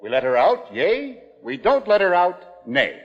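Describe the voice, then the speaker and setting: An actor playing an earnest, emphatic husband in a 1950s radio suspense drama, with deliberate phrasing. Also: male voice, high-pitched, very animated, big-room sound, heavily acted. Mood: solemn, procedural. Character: earnest, fair-minded husband presiding over his wife's fate. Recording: dull muffled 1950s radio recording.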